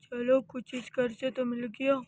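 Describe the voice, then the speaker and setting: A woman voicing a sneaky male burglar who mutters to himself in a hushed, grumbling way. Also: fairly high pitch, monotone, a little slow, no room sound, pitched below the performer's own voice, close to an everyday voice.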